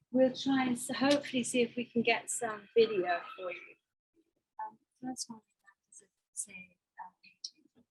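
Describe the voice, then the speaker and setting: Woman artist, slightly high, halting and reflective; presenting a slideshow talk. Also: fairly bright tone, breathy edge, rough recording. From Botswana. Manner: hesitant, thoughtful, with fillers and restarts.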